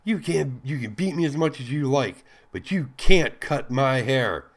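Defiant young woman captive, shrill and strained, high and loud, voiced by a man. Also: normal speed, close to an everyday voice. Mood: hissing.

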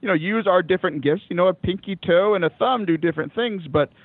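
Young male guest with a loud voice, a little high-pitched, lively and chatty with frequent restarts. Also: fast talker, husky, dry recording. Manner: animated, laughs often, many fillers and restarts. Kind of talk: casual interview chat. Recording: dry, phone-like call line.

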